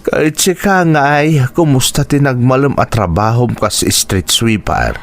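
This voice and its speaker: A man's voice: medium pitch, unhurried, close to an everyday voice. A man acting in a radio drama as a poor, weary and loving father and husband, speaking earnestly with an Ilocano accent. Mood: weary, concerned. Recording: music bed behind the voice.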